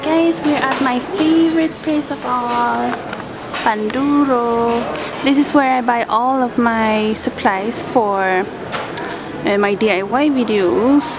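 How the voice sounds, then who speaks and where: Young female vlogger, high voice, slow chatty delivery, handheld camera, loud background noise. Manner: giggly, chatty, mixes in Tagalog words. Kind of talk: casual vlog chat. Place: outdoors on a bridge, then inside a mall and shops. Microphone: handheld camera at arm's length.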